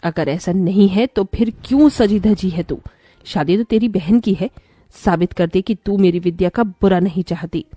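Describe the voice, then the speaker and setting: A woman voicing a sharp-tongued, scornful middle-aged mother in a dramatic cartoon story, scolding and taunting. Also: medium pitch, moderate pace, dry recording, clean studio sound, natural voice. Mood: scornful, accusing. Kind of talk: Hindi cartoon story voice acting.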